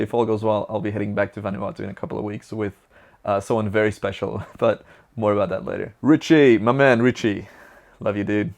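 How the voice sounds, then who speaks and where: Young man, flemish accent, low voice, chatty vlog q&a, upbeat and rambling. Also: a little mellow. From Belgium. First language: Dutch. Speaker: vlogger. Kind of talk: casual Q&A answering viewer questions.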